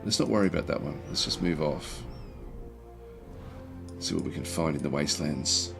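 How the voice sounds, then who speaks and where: Male streamer, very low gravelly croak, thinking aloud in halting casual game commentary, faint music behind. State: croaky throat, recovering from feeling unwell. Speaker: livestream host playing a strategy game. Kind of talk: casual game commentary, reading and answering chat.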